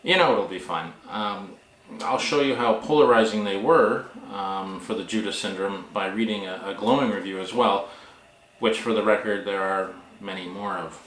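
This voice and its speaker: A man talking casually as a vlog host and author, with a halting, wry, self-deprecating manner. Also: on the low side, a bit bright, slight background noise. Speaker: author and book vlogger hosting. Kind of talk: casual vlog talk, reading reviews aloud. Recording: echoey room.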